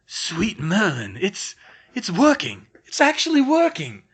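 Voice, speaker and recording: A man's voice: fairly high pitch, big pitch swings, measured pace, bright, forceful tone, pitched above the performer's own voice, full character voice. A man voicing a sour, suspicious old male caretaker with a wheezy voice who snaps and stammers when flustered. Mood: overjoyed.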